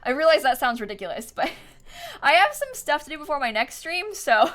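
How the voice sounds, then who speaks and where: A woman's voice: sits high, huge pitch swings, a little fast, a bit bright. A young woman live game streamer chatting casually and informally with her viewers, with a giggly manner. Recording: music playing behind. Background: music, typing.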